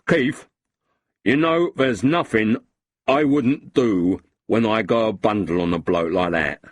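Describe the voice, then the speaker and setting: A man voicing a boozy, sentimental lowlife with a husky voice and a blustering, self-pitying way of talking.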